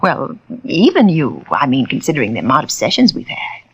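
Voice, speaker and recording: A woman's voice: a bass voice, huge pitch swings, a little fast, husky, muffled recording, full character voice. An actress playing a middle-aged fake spiritualist woman in a 1940s radio thriller, syrupy and self-righteous. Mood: indignant. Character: scheming middle-aged female spiritualist, wheedling and proud.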